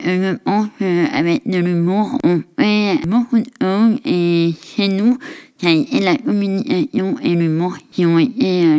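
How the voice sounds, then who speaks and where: A woman's voice: on the low side, darkish tone, clean tone. A woman in her thirties telling her story frankly and with humor, hesitating often as she talks. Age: 30s. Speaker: podcast guest. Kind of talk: casual conversation.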